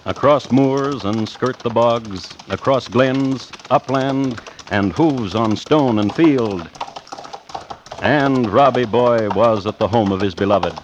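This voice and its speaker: A man's voice: medium pitch, slow, muffled recording, noisy background, lightly acted. A man narrating a scripted 1950s radio crime drama in a florid, theatrical storytelling manner. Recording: vintage radio broadcast with music behind. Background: music.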